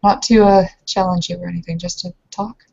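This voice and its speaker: Casting: woman as nervous young married woman in improvised roleplay; lowish husky voice, halting delivery, dull lo-fi recording. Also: fairly even intonation, dark tone. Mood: tentative. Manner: hesitant, halting, awkward. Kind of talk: tabletop roleplay, improvised in character.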